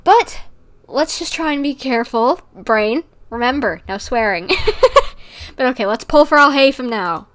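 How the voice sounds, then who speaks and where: A woman with a high-pitched voice gives casual commentary on a game livestream. She is chatty and excitable, and her pitch moves around in a very lively way. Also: moderate pace.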